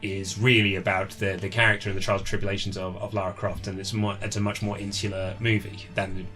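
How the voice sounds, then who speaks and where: A man's voice: sits low, little pitch movement, quick pace, pushed and bright, soft background music. A man chatting casually as an interview guest, animatedly quoting imagined reactions as he talks about films and games. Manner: chatty, acts out imagined quotes. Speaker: interview guest, writer and presenter.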